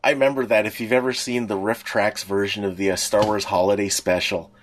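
A man's voice: lowish voice, level tone, fairly quick. A man co-hosting a podcast, chatting casually and in a rambling way. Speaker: podcast co-host. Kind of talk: casual chat about pop culture.

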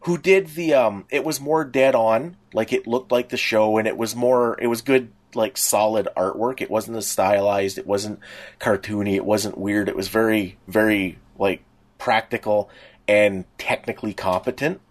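A man's voice: lowish voice, fairly even intonation, moderate pace. A middle-aged man co-hosting a podcast, chatting casually as a fan in a rambling way with frequent restarts. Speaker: podcast co-host. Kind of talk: casual nostalgic fan chat.

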